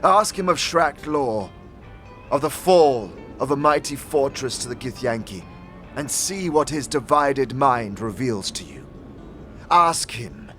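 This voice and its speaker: A man's voice: mid-range voice, lively intonation, slow pace, a bit bright, pitched above the performer's own voice. Man voicing a stern, scornful warrior woman over music. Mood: cold, suspicious. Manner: formal, archaic, accusatory speech. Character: stern, hostile, scornful female alien warrior.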